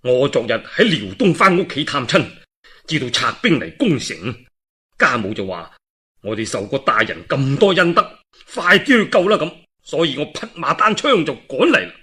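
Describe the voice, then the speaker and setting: A brave, loyal male warrior, acted by a man telling the tale, speaks with a Cantonese accent. The voice is forceful and swoops widely, with a husky edge. He is urgent, forthright and respectful, in the manner of a historical storybook hero. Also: a little high, big pitch swings, normal speed, heavily acted. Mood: earnest, respectful. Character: brave, earnest young warrior, loyal and bold.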